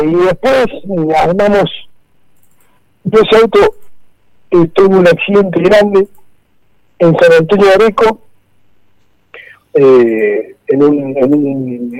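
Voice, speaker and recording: Halting Argentine man, slightly high voice. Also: darkish tone, no echo, low-quality recording. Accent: Argentine Spanish. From Santa Fe, Argentina. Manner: halting, many fillers and repeated words. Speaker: rally co-driver guest. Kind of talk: casual radio interview.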